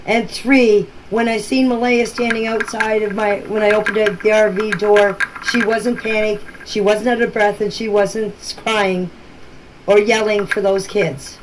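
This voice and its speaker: A woman's voice: medium pitch, little pitch movement, a little slow. A middle-aged or older woman speaking plainly and insistently in informal voice messages, often repeating herself. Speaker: local resident answering questions in a group chat. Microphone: voice messages recorded for a group chat. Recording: noisy amateur voice-message clips.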